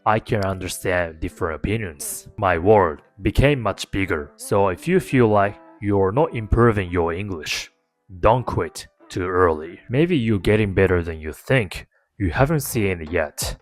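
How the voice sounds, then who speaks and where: A man with a Japanese accent, a native Japanese speaker, giving an earnest, encouraging talk to camera about learning English. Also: low-pitched. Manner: earnest, encouraging, plain-spoken. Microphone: talking to camera.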